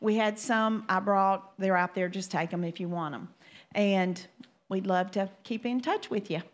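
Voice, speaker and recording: A woman's voice: mid-range voice, a little slow. Chatty Louisiana woman in her sixties. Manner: chatty, laughing, homey. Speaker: minister's wife. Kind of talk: speaking to a church congregation. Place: church sanctuary.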